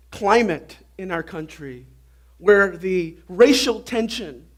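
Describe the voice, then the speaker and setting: Male pastor, raspy and hoarse from a flu, pitched high, animated and quizzing the crowd. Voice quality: normally deep voice, now raspy. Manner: animated preaching, quizzing the audience with questions. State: hoarse, getting over a flu. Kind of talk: sermon. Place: church hall before an audience.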